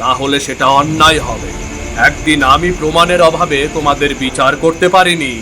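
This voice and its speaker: A man's voice: on the high side, fairly even intonation, normal speed, background noise, lightly acted. A man voicing a stern, authoritative village headman who hands down judgments in a cartoon. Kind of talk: cartoon voice acting. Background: background music.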